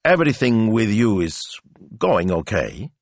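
Well-meaning male shopkeeper father acted by a man, awkward, expressive swings of pitch, husky, storybook. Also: unhurried, no echo, heavily acted. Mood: awkward, hesitant.

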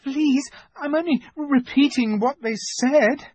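A man's voice: high-pitched, very animated, a little slow, warm tone, breathy edge, no echo, pitched above the performer's own voice, full character voice. A man voicing a timid, eager young boy in an audiobook story, deferential and nervous, with a trembling delivery. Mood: anxious pleading. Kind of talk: audiobook character voice.

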